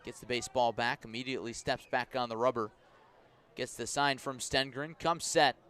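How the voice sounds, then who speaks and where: A man calling a baseball game live on the radio, describing each pitch in measured phrases and lifting his voice for key plays. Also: fairly even intonation, a bit bright. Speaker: baseball play-by-play announcer. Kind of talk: live radio sports commentary.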